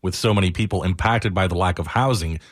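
A man's voice: low voice, quick pace. A man reading a scripted radio news report as a reporter.

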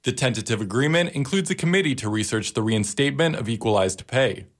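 A man's voice: medium pitch, expressive, quick pace. A man delivering a scripted news report as a reporter.

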